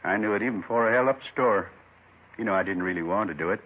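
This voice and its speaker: An actor playing an earnest, resigned American robbery suspect in a 1950s radio drama. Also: male voice, lowish voice, quick pace, breathy edge. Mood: remorseful, earnest. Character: remorseful, earnest male drunk turned robbery confessor. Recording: tinny 1950s radio recording.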